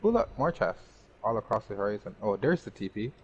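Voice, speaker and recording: A man's voice: on the low side, very animated, a little fast, highs cut off. A man giving casual gameplay commentary, chatting to himself and to the game's characters. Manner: talks to himself and the game characters. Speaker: gaming streamer. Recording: band-limited mic with faint background noise.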